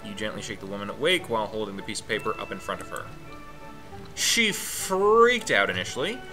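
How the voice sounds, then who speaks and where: A man's voice: lowish voice, very animated, brisk, pushed and bright, faint music behind. A man hosting a let's play, reading the game text aloud with his own asides and chuckles.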